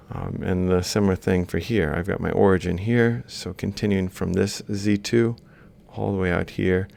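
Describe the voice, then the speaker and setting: Deep, very creaky man teaching. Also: a little mellow. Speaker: robotics lecturer. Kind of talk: explaining step by step while drawing.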